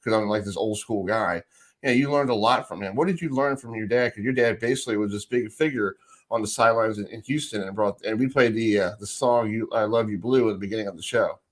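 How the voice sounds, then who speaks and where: A man's voice: lowish voice, fast. A middle-aged man hosting a sports radio show, asking informal, rambling questions in a casual chat.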